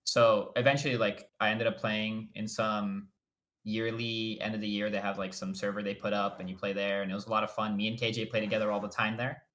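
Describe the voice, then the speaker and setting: Excitable young man telling stories. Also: lowish voice, a little fast. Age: young adult. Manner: animated, excitable storyteller. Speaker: college esports player guest. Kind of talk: casual chat.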